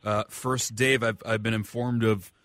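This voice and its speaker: A man's voice: on the low side, flat delivery, a little slow, a bit bright, no echo, clean studio sound. A man with an American accent co-hosting a radio sports show in a casual, conversational style.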